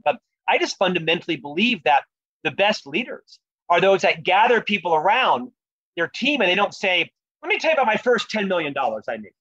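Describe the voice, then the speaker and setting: A man with a noticeably high voice and a lifelong stutter talks earnestly and reflectively about leadership as an interview guest. The sound is dry and slightly dull, with little room in it. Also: fairly bright tone, breathy edge, dry recording. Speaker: guest, author and leadership speaker. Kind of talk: podcast interview conversation.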